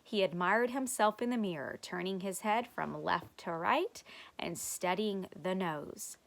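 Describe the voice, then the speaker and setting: A woman reading a children's chapter book aloud as a teacher, expressive and deliberate. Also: medium pitch, vocal fry.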